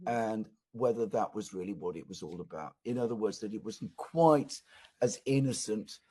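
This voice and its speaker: A man giving political commentary as an interview guest, speaking in a halting, deliberate way. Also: lowish voice, mellow, husky, no echo.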